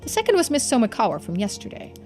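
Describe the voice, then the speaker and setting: A woman voicing a composed, formal investigator in a mystery game, speaking in a measured, precise way. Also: on the high side, huge pitch swings, darkish tone, faint music behind. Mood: serious, measured.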